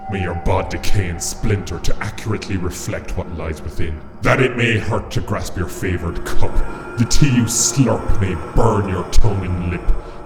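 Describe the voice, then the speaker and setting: An actor playing a stern, imperious imp elder in a radio play, speaking in a pompous, archaic, declamatory way. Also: male voice, deep voice. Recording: music and insect chirps behind voice.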